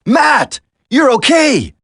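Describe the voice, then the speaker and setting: An earnest special forces operative in a video game. His voice sits high and swings widely in pitch, recorded very dry and close. He stays steady and emphatic. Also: slow, no echo, exaggerated voice. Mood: alarmed. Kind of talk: dramatic video game voice acting.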